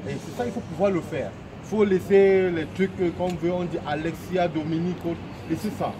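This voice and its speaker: A man's voice: sits high, fairly even intonation, moderate pace. Cameroonian guy preaching at a protest, asks lots of questions, street noise blasting. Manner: earnest, questioning, slogan-like. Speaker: protest speaker. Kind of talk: impassioned street speech. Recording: loud street noise outdoors.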